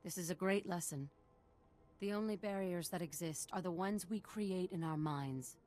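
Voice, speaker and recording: A woman's voice: on the low side, moderate pace, darkish tone, a little hiss or hum, lightly acted. An actress voicing a respectful, admiring female desert explorer in a video game, speaking earnestly and formally. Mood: earnest wonder. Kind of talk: scripted video game acting.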